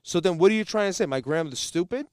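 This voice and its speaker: A man's voice: high voice, lively intonation, very fast, no room sound, clean studio sound. A man with a Jersey City accent co-hosting a podcast, talking in a heated, indignant way and often restarting his sentences. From New Jersey. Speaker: podcast co-host. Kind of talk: casual discussion.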